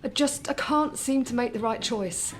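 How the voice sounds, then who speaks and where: A woman's voice: a little high, normal speed, faint music behind, natural voice. An actress playing a middle-aged mother visiting her son in hospital, defensive and remorseful. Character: chaotic, guilt-ridden middle-aged mother. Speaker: patient's mother. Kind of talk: radio play acting.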